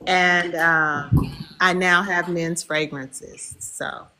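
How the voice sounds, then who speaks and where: A woman in her fifties chatting casually as a podcast guest, bubbly and quick to laugh. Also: on the low side, fairly even intonation, bright, forceful tone, poor audio. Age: 50s. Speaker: guest, body care business owner.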